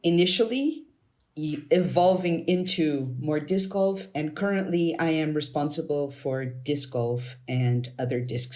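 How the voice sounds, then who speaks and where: A woman's voice: deep voice, a little mellow. A woman from Canada answering interview questions as a board candidate, earnest and thinking aloud.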